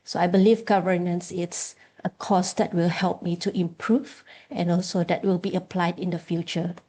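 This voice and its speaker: Woman, thirties, low pitch, soft warm tone, measured student introduction. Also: level tone. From Sabah, Malaysia. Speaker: master's student. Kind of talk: class self-introduction.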